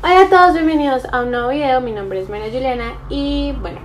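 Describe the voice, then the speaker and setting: A woman's voice: high-pitched, quick pace, loud music behind the voice, low-quality recording. A young woman chatting casually and a little unsurely to the camera as she works at a table in a room. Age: young adult. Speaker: vlogger. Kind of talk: casual chat to camera while cooking. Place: small indoor room, at a table.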